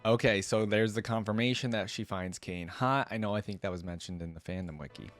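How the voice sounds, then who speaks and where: A man's voice: lowish voice, fairly quick, faint music behind. A man giving casual reaction commentary, musing aloud with curiosity as host.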